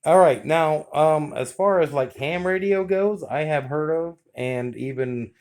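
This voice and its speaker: A man's voice: fairly high pitch, a little slow, a little mellow, no room sound. A man reviewing and testing a radio in a plain-spoken, explanatory way, as a hands-on demonstration.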